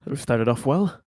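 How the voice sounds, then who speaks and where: A man's voice: medium pitch, warm tone, no room sound, clean studio sound. A man chatting casually as a player in a tabletop game, given to exclamations.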